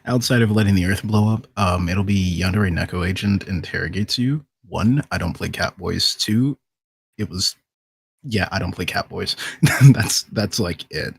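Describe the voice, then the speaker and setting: A man with a gravelly voice chatting casually as a podcast guest, blunt and drily humorous. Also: low-pitched, moderate pace, dry recording. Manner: blunt, dry humour.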